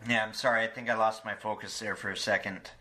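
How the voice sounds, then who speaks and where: A man hosting a casual podcast interview, halting and searching for his words as he talks. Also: on the low side, little pitch movement, sharp tone. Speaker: podcast host.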